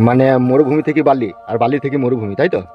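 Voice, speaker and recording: Man voicing a worrying, fast-talking cartoon uncle. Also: mid-range voice, muffled recording, faint music behind, close to an everyday voice. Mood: hesitant. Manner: hesitant, cautionary. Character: cautious, worrying uncle, homeless desert wanderer. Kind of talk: comedy cartoon dialogue.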